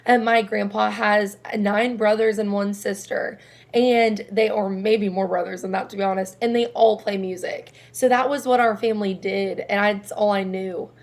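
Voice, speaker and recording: A young woman singer with a low, raspy voice, chatting casually and laughing often as an interview guest. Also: steady tone, normal speed. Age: young adult.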